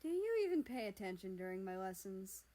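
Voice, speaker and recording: A woman's voice: medium pitch, very animated, fairly quick, dark tone, natural voice. A woman voicing a rude, teasing, sarcastic older brother in a story, rambling along. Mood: irritated.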